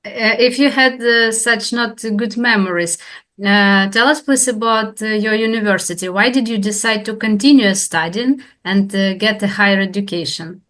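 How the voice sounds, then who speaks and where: A woman's voice: medium pitch, moderate pace, a bit bright. A woman hosting an interview podcast, polite and formal, asking questions with some hesitation. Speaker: podcast host.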